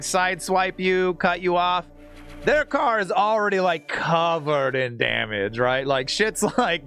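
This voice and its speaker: A man talks off the cuff to his live-stream audience as he plays. His voice sits fairly high and jumps around in pitch with animated inflection. He is informal and sweary, with music playing softly behind him. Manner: informal, slangy and profane, laughs easily. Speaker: game streamer. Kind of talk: casual live-stream chat while playing a game.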